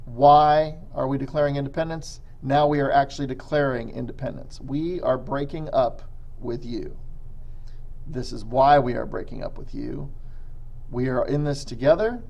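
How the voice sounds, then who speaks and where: An American man, a middle school history teacher, explaining deliberately and emphatically in a recorded lesson for students. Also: medium pitch, creaky, darkish tone, lo-fi sound.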